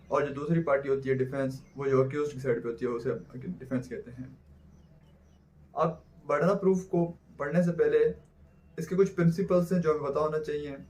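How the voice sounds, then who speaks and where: Pakistani guy teaching like a lecturer, slightly high voice, repeats stuff and asks himself questions. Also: a little mellow. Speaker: law lecturer. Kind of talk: explanatory lecture mixing Urdu and English legal terms.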